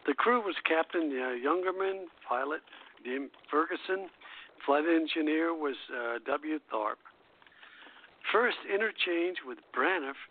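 An older man hosting a radio show in a folksy, halting way, reminiscing and reading out notes. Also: a little high. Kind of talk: casual reminiscing and reading out airline history notes. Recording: tinny narrow phone-line internet radio.

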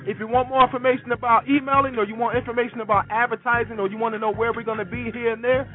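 A man's voice: very high-pitched, flat delivery, rapid-fire. A man hosting a radio show, reading a station promo in an upbeat, sing-song way. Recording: tinny phone-like sound with faint music.

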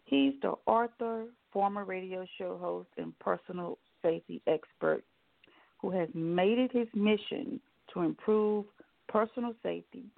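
Radio host lady, kinda gravelly voice, eager questions, sounds like over the phone. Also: medium pitch, a little slow, dry recording. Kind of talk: scripted guest introduction and interview questions. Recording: dry, phone-like line.

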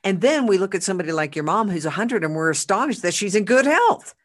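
Brief: podcast host, emphatic, halting repeats, slows for quotes. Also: mid-range voice, animated, fairly bright tone. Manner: emphatic, repeats words, reads quotes slowly. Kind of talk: casual podcast chat.

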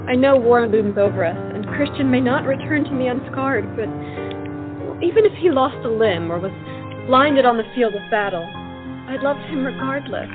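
Woman acting high-voiced romantic colonial young lady. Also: natural voice. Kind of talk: period stage play acting. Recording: muffled recording with music behind.

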